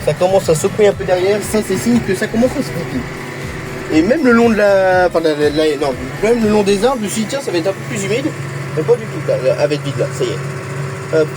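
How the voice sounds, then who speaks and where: A man's voice: high voice, animated, a little fast, noisy background. Stuffy-nosed young Frenchman filming his farm work. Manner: chatty, lots of hesitations and repeats. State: has a cold, stuffy nose. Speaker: farm vlogger. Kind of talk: casual running commentary while working. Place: tractor cab and farmyard outdoors.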